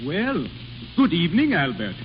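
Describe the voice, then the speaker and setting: An exasperated hotel manager from 1930s radio comedy, sarcastic and sputtering. The voice is slightly high and very animated. The old recording is muffled, with a light hiss. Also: male voice, normal speed. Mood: icy sarcasm. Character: indignant, sarcastic male hotel manager scolding his waiter.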